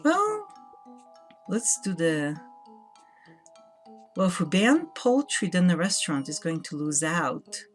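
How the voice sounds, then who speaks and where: A woman chats casually during a let's play and reads game text aloud in a measured, lightly theatrical way. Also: fairly low pitch, sing-song. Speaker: guest co-player. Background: background game music.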